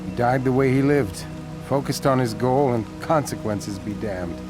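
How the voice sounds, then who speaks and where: A man's voice: mid-range voice, moderate pace, close to an everyday voice. A man playing a stoic scholar in a fantasy video game, speaking formally and precisely with a dry delivery. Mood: solemn. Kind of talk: video game cutscene acting. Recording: voice over loud cinematic music.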